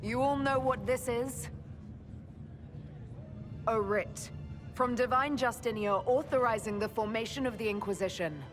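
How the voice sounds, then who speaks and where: A woman's voice: a little high, natural voice. An actress playing a resolute female military leader in a video game, speaking in a firm, direct and earnest way. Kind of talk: dramatic video game acting. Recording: loud orchestral game music behind.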